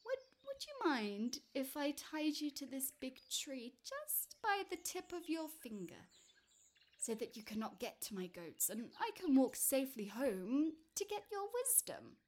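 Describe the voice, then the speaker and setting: A woman voicing a tiny, timid but crafty male farmer in a storybook tale, with a high trembling voice and hesitant, stammering pleas. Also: very animated, a little slow. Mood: nervous, pleading.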